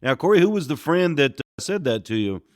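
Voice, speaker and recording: A man hosting an interview podcast, asking questions in an affable, steady way. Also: a little high, a little fast, dry recording, clean studio sound. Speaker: podcast host.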